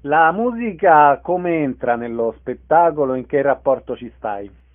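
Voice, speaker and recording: A man's voice: a little high, expressive, measured pace. A man working as a radio interviewer, putting short, prepared questions to a guest. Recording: narrow phone-like radio sound.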